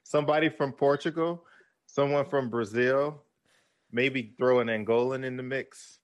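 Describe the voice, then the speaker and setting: A man co-hosting a podcast, in a relaxed chat with a guest. His voice sits at an ordinary pitch, and he talks with an eager, playful energy and laughs easily. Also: measured pace, lo-fi sound. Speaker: podcast co-host. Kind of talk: casual chat.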